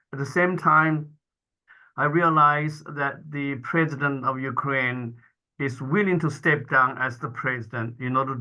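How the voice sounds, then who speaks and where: A man acts as moderator and puts long, formal questions to the speaker. His voice is bright and forceful, at a middle pitch. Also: poor audio. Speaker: program moderator.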